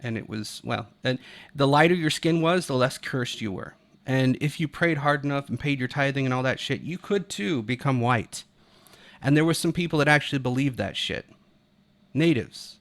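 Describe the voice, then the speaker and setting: A man's voice: medium pitch, moderate pace, creaky, a bit bright. An American man from Utah giving unscripted, opinionated video commentary in an emphatic, argumentative and casually profane way. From Utah, United States.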